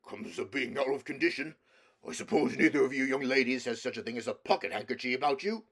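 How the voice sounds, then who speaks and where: A man's voice: medium pitch, animated, quick pace, a bit bright, breathy edge, voice pushed up. A man voicing a huge, good-natured, simple giant with polite, rustic speech. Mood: friendly.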